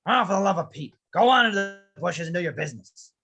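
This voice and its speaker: An actor playing a grumpy, curt, impatient old widower in an animated film script read-through. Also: mid-range voice, fast, muffled recording. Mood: exasperated. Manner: curt, exasperated.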